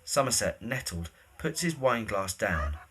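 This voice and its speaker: A man's voice: on the low side, sing-song, normal speed, pushed and bright, breathy edge. A man reading scripted, scene-setting narration for a period drama.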